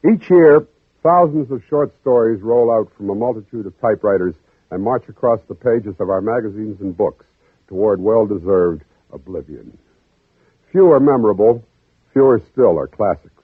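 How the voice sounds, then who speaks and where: A man narrating an old-time radio drama with solemn, measured, deliberate phrasing. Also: on the low side, expressive, husky, no room sound. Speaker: narrator and program host. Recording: muffled old radio broadcast, faint music.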